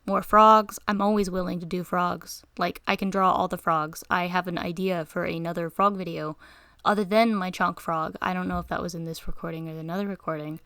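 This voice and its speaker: A tired young woman with a slightly raspy voice, rambling casually in a voice-over while she draws, interrupting herself and giggling. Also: on the low side, fairly even intonation, fairly quick, a bit bright, no echo. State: tired, short on sleep. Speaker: art channel host.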